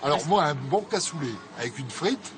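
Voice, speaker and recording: Older northern French man, retiree, hesitant halting chat over background noise. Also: a little high, lively intonation, roomy sound. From northern France. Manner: hesitant, many pauses. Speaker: retiree interviewee. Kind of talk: casual chat. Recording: noisy ambient background.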